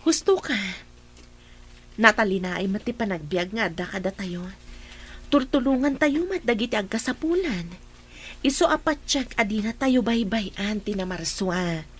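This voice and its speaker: A woman's voice: mid-range voice, expressive, normal speed, natural voice. A woman acting as a caring mother and wife in a radio play, polite and grateful. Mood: calm.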